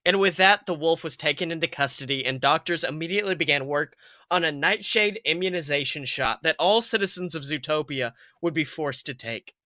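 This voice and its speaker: Bright-voiced man narrating dramatically. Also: a little high, a little fast, dry recording. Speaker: podcast host reading fan fiction.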